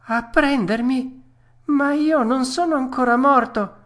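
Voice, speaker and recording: A woman's voice: sits high, lively intonation, unhurried, pitched above the performer's own voice. A woman voicing a stubborn, whiny little wooden puppet boy in a storybook, childish and dramatic in manner. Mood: frightened, pleading. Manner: wailing, exclamatory, childish protesting. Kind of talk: storybook character voice.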